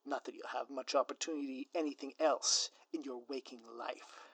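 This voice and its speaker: A man playing a scheming, theatrical supervillain in a scripted ASMR audio roleplay, with a pompous, florid, drawn-out delivery. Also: mid-range voice, fairly even intonation, fairly bright tone, breathy edge, no room sound. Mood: ominous, gloating. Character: theatrical scheming male supervillain, gloating, menacing, easily flustered.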